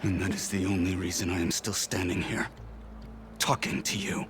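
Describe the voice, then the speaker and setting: Very deep, grim warrior marshal. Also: male voice, steady tone, fairly bright tone, slight background noise. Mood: bitter, scornful. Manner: terse, stern, weighty deliberate delivery. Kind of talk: dramatic video game cutscene acting.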